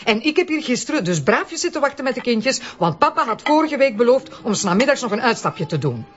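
A woman's voice: on the high side, very animated, brisk. An actress playing a suspicious, exasperated wife and mother with a Flemish accent and a sarcastic, needling manner, in a radio drama. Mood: sarcastic, probing. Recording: dull, muffled lo-fi radio drama.

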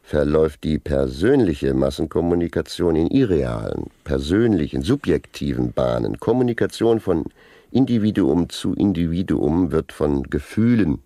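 An actor playing a pedantic senior narcotics officer in a satirical radio drama, lecturing in formal, bureaucratic language. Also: male voice, extremely low pitch, sing-song, normal speed, warm tone, no room sound, studio quality, heavily acted. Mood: officious, didactic. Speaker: interviewed police official.

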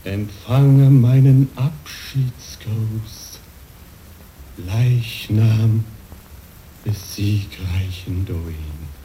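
An actor playing a solemn, humbled samurai knight in an old-fashioned radio play, declaiming haltingly with long pauses. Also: male voice, lowish voice, warm tone. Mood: solemn resolve. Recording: faintly noisy old radio recording.

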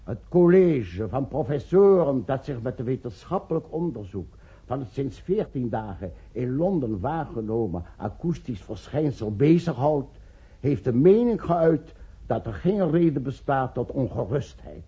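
A man acting an earnest, diplomatic professor in a Dutch radio play, speaking in formal, measured oratory. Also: medium pitch, sing-song, dark tone. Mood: formal reading. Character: earnest, diplomatic professor pleading with scientific colleagues. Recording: dull, lo-fi old radio recording.

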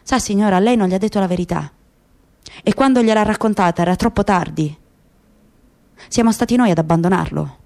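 A woman voicing a grieving, bitter middle-aged father in a radio play, speaking in measured, rhetorical phrases. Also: mid-range voice, creaky, a little mellow, voice pushed down, close to an everyday voice. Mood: grave accusation.

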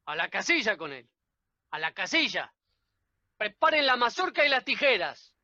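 A woman's voice: on the high side, very animated, normal speed, pushed and bright, no echo, muffled recording, full character voice. A woman playing an imposing, cold and commanding male judge in a radio play.

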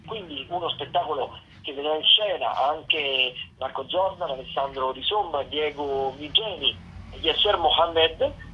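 A radio presenter giving a semi-scripted rundown of listings in a halting, discursive way, with self-corrections. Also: medium pitch, a little hiss or hum. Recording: muffled, phone-like radio line.

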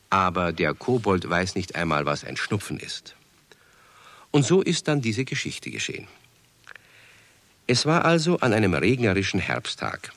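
A man with a deep voice tells a children's story. His intonation moves widely and expressively through the scripted narration. The sound is close and dry, with no room around it. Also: a bit bright, dry recording.

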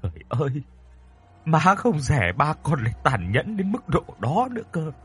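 A man's voice: on the high side, big pitch swings, normal speed, a bit bright, breathy edge, faint music behind, heavily acted. A man voicing a frightened elderly mother in a dramatic story, tearful and pleading. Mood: fearful sorrow.

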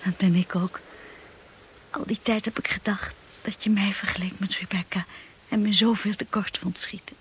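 Faint noise runs through an old radio play in which an actress plays an anxious, devoted young wife. Her voice is medium in pitch, hushed and breathy. She speaks tenderly and earnestly, imploring her husband. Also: steady tone, normal speed, close to an everyday voice. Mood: supportive, earnest. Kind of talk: Dutch radio play acting.

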